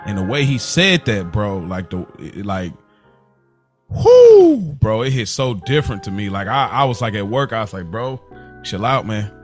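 A man's voice: fairly low pitch, wildly swooping pitch, normal speed, faint music behind. A man with an American accent, telling things informally as a podcast co-host, with plenty of slang. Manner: informal, slangy, frequent "bro" and "like". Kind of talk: casual conversational chat.

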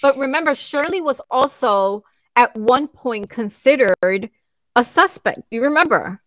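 A woman's voice: high voice, lively intonation, measured pace, a bit bright, no room sound. A woman whose first language is Spanish, co-hosting a casual podcast, frank and opinionated as she teases her co-host. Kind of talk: casual chat.

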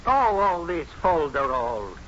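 An actor playing a blustery, irreverent professor with a colorful, animated manner in a 1950s radio drama. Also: male voice, a little high, takes it slowly, full character voice. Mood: exasperated, passionate. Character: feisty irreverent male professor, blustery loyal champion of a colleague. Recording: dull noisy 1950s radio recording.

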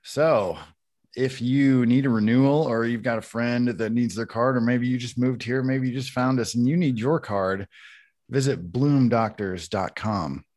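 A man's voice: medium pitch, a little slow, darkish tone. A man co-hosting a casual podcast, talking loosely and laughing often while reading a sponsor spot. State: high on cannabis. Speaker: podcast co-host. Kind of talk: casual chat with sponsor read.

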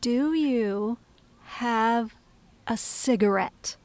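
A woman playing an eccentric, craving diner regular, dramatic and intense in a drawn-out delivery. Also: fairly high pitch, a little mellow, no room sound. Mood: awkward, hesitant.

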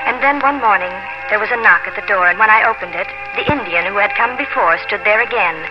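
Young colonial heroine played by an actress, headstrong and earnest, theatrical vintage radio delivery, muffled sound with music behind. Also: female voice, mid-range voice, a little fast, lightly acted. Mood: earnest, wistful. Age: young adult. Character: headstrong, emotional young colonial heiress telling her story. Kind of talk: 1950s radio drama acting and narration.

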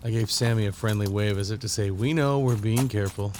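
A man's voice: lowish voice, fast talker, background noise, natural voice. A man playing a counterterrorism agent who narrates an audio drama in a dry, understated way. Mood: measured narration. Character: male counterterrorism agent Blake, wry first-person narrator. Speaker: narrator and lead actor.

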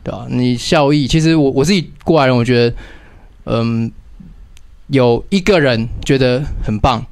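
A man's voice: mid-range voice, normal speed, dry recording. A man hosting a radio show in a casual, joking chat with a co-host, with a playful mock-grand manner.

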